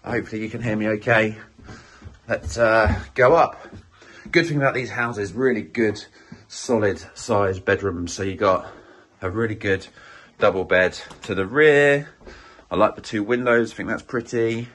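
Croaky estate agent, lower-pitched, upbeat and conversational, many fillers. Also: male voice, normal speed, a bit bright. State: slightly croaky voice. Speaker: estate agent giving a property tour. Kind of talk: casual walk-through property tour. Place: inside a small house, walking room to room.

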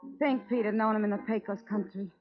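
A woman's voice: mid-range voice, steady tone, fast talker, close to an everyday voice. A woman playing a worried, tender American saloon woman in an old radio western. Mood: uneasy. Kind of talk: 1950s radio western acting. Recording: muffled vintage radio recording, faint music.

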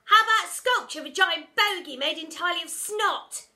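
A woman voicing rowdy, cheeky schoolchildren who shout and mock. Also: big pitch swings, normal speed, heavily acted. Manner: shrill, shouted, mocking. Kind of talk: storybook character voice.

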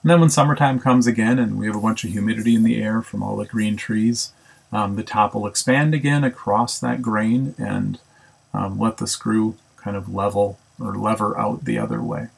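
A man's voice: fairly low pitch, fairly even intonation, normal speed, creaky, slightly rough, poor audio. A man casually narrating a woodworking build, thinking aloud with ums and self-corrections. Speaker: woodworking instructor. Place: home woodshop.

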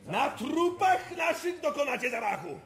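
An actor playing an agitated, bombastic opposition zealot in parliament, declaiming in a radio drama. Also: male voice, extremely high pitch, big pitch swings, a little slow, fairly bright tone, heavily acted. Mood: outraged. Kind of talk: theatrical radio drama acting. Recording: echoey hall, crowd behind.

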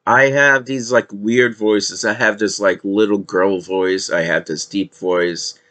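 A man's voice: lowish voice, fairly even intonation, slow pace, sharp tone. A young man on a gaming headset in his living room, casually reacting to his own footage and rambling with many fillers. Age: young adult. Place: living room with a couch, indoors.

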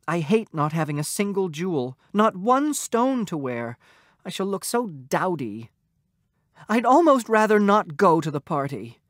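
A petulant young wife, as a male narrator puts her on, vain and quick to tears. Her lines jump high above the reader's own voice. The recording is dry and close. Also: sing-song, fairly bright tone, dry recording, exaggerated voice. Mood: sulky. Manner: petulant, impatient.

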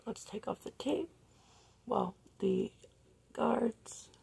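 A young woman talks through her makeup in a slightly raspy, gravelly voice, dropping almost to a whisper as she works. Her tone is husky and breathy, close to the phone camera in her bedroom. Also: a little high, very slow. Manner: soft-spoken, often near a whisper while working. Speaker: makeup vlogger. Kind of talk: casual makeup tutorial talk. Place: bedroom, indoors.

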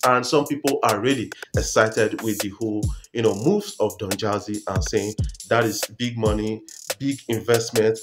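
A man in his thirties with a Nigerian accent, giving informal entertainment news commentary to camera as a vlogger. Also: medium pitch. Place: small room at home, indoors.

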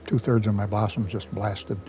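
An older man giving a garden tour outdoors, talking in a folksy, unhurried way on a lapel mic. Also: on the low side, flat delivery, dark tone, faint music behind. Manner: folksy, unhurried, chuckles at own jokes. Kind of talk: casual storytelling tour.

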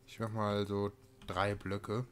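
A man's voice: lowish voice, level tone, slow pace, fairly bright tone. A man giving casual let's-play commentary as a gaming video host, thinking aloud while he plays. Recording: music behind the voice.

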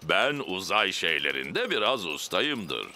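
A man voicing a jolly, playfully boastful cartoon father pig, jovial and expressive. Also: low voice, slow pace, a little hiss or hum, heavily acted. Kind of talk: animated cartoon dub acting.

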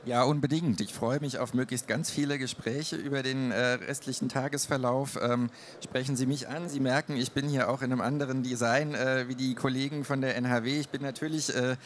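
A man's voice: mid-range voice, steady tone, a little fast, a little hiss or hum. A man in his forties, spokesman for an initiative, inviting visitors to talk, with frequent fillers, on a trade fair stage with a handheld mic. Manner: friendly, inviting, many fillers. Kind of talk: panel discussion on stage.

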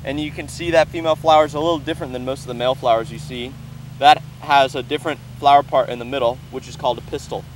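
A man's voice: mid-range voice, steady tone, fast talker, slight background noise, rough recording. A young man in his twenties on a lapel mic, explaining step by step with enthusiasm while handling plants in an open farm field. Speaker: farm educator. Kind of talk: outdoor teaching walk-through.

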